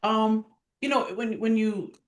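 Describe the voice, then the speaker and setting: A woman's voice: on the high side, measured pace, echoey room. A woman being interviewed, speaking conversationally but with conviction, piling up examples and checking her points with rhetorical tags. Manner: emphatic, builds lists, tags points with "right?". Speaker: stage director and actress being interviewed. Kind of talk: impassioned conversational interview talk.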